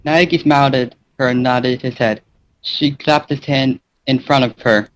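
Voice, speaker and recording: A man's voice: mid-range voice, steady tone, unhurried. Man reading a story aloud, noisy recording. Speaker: fan fiction reader and gaming channel host. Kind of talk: amateur read-aloud narration.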